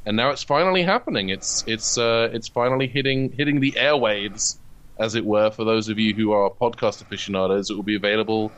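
A man's voice: on the low side, a little fast, lo-fi sound. A man, chatting casually as an interview guest. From United Kingdom. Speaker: guest, comedian and writer.

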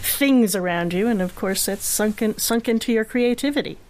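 A woman's voice: on the high side, normal speed, darkish tone, slight background noise, rough recording. A middle-aged or older woman co-hosting an interview, chatting casually in a wry, teasing way. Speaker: interview co-host. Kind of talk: casual chat.

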